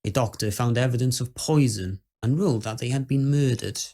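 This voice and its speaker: A proper Victorian gentleman played by a male actor delivers a dramatic ghost-story monologue in a mid-pitched voice. His phrasing is measured and old-fashioned, that of a skeptic who is still quietly full of dread. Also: lightly acted. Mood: grave, musing.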